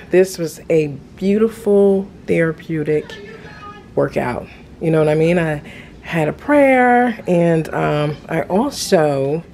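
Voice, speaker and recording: A middle-aged woman with a slightly low voice talks very slowly and casually, as in a lifestyle vlog. She is in a home kitchen, with clear ambient noise behind her. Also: warm tone. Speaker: lifestyle vlogger.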